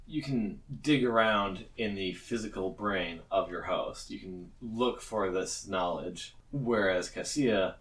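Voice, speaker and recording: A man's voice: sits low, takes it slowly, few pauses, a bit bright, recorded from a distance. A man acting as game master, explaining rules in a casual tabletop game.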